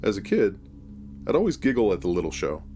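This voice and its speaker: A man narrating a scripted first-person horror story in a measured, deliberate storytelling manner. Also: fairly low pitch, huge pitch swings, warm tone, soft background music.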